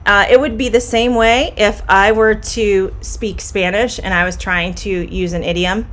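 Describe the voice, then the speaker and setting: A woman's voice: mid-range voice, fairly even intonation. Middle-aged Midwestern woman as an English teacher: friendly, explains patiently. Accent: Midwestern American. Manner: friendly, explains slowly and repeats phrases. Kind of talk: live-streamed idiom lesson.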